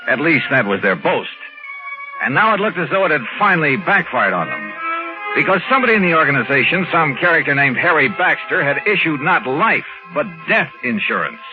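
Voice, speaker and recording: A man's voice: on the high side, sing-song, fairly quick. A man acting a skeptical, hard-boiled insurance investigator in a 1950s American radio drama, sardonic and slangy. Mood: dry narration. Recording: muffled vintage radio recording with music.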